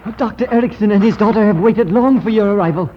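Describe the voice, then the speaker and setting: A man playing a wary, excitable Egyptian camp servant with formal, deferential speech in a 1950s radio adventure. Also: high voice, quick pace, lightly acted. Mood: excited. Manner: formal, wary, eager to serve. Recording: dull, noisy 1950s radio recording.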